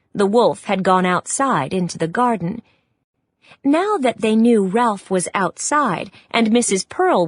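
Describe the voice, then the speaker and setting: A woman reads a children's storybook at a slow, measured pace. Her voice is fairly high and very expressive in pitch, recorded close and dry. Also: breathy edge, dry recording. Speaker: storybook narrator. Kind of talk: scripted children's read-along narration.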